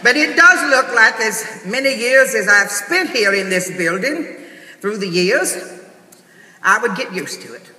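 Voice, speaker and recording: A man's voice: sits high, lively intonation, sharp tone, heavily acted. A man playing a prim, scandalized church lady usheress, delivering a pompous mock-sermon comedy monologue as an awards host. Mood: pious, grandiose. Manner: pompous, slow and emphatic, punctuated with amens. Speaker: comic host of a theater awards show. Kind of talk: theatrical stand-up monologue in mock-sermon form. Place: large theater stage before an audience. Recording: echoey theater hall, distant noisy recording. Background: audience noise.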